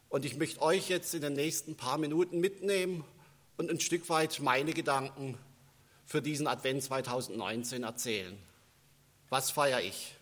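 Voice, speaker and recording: A man's voice: a little high, a bit bright. A man giving an Advent sermon in a church, talking in a conversational way and telling personal stories, with a German accent that has a northern standard touch. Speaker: preacher. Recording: long church reverb.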